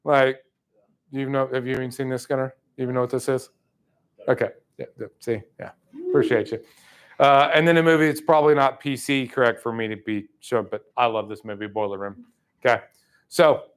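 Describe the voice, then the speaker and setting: A man's voice: medium pitch, brisk, smooth. Man, 30s, sales trainer, casual chatty delivery to a room. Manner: lively, casual, chatty, many fillers. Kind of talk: live training talk.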